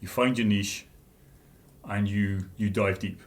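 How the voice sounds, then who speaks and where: A man's voice: lowish voice, steady tone, slow. A Scottish man in his fifties, a guest author chatting casually and telling anecdotes with easy laughs. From Scotland. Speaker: guest author and leadership trainer. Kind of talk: casual interview conversation.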